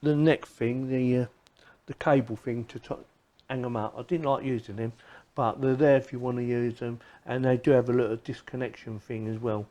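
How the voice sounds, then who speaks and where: Mellow-voiced man chatting through a product review. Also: medium pitch, steady tone, normal speed, muffled recording. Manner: chatty, repeats phrases as he goes. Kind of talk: casual unscripted product review.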